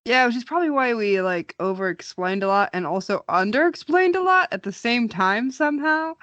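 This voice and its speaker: A woman's voice: on the high side, animated, fairly quick, a bit bright, no echo, muffled recording. A young woman co-hosting a podcast, chatting casually and wryly with a friend. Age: young adult. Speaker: podcast co-host. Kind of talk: casual chat between friends.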